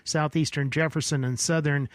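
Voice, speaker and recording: A man's voice: on the high side, steady tone, normal speed, dry recording. A male radio broadcaster giving unscripted live storm commentary in a folksy, explanatory way. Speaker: radio weather coverage broadcaster. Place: dry radio station studio.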